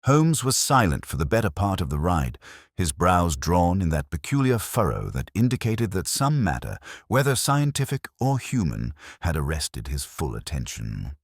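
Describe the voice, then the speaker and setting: A man reading a first-person detective story as its narrator, in a measured, formal, literary manner. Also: low voice, wildly swooping pitch, dry recording, clean studio sound. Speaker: audiobook narrator.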